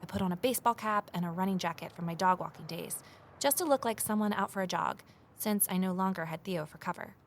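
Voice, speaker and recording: A woman's voice: fairly low pitch, fast, slight background noise, lightly acted. An actress playing a determined, inquisitive investigator who tells her story in an earnest, confiding way. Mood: uneasy, intrigued. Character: Evelyn, determined, inquisitive young woman investigator and narrator. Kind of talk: first-person scripted drama narration and dialogue.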